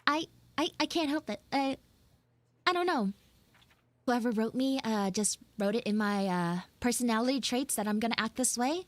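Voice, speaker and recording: A woman's voice: fairly high pitch, lively intonation, moderate pace, no echo, lightly acted. A young woman playing a flustered, pleading tsundere schoolgirl in a live roleplay, rambling and stammering dramatically. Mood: embarrassed, confessing. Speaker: live audio roleplay streamer. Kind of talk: improvised live character roleplay.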